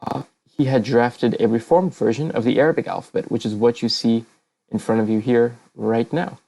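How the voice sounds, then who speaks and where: Gravelly-voiced man giving a hesitant lecture. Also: mid-range voice, steady tone, normal speed, mellow, rough recording. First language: not Kazakh. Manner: hesitant, many fillers and self-corrections. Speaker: presenter. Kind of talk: casual slide lecture. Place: online voice channel talk.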